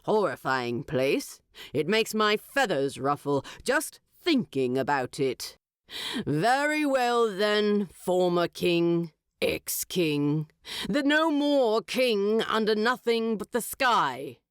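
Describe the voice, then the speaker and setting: Lady doing a pompous eagle king, vain n wry, swoopy pitch, slow regal talk, storybook style. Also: big pitch swings, smooth, dry recording, studio quality. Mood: pompous, approving. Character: haughty, vain king of giant eagles, male. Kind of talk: audiobook storytelling.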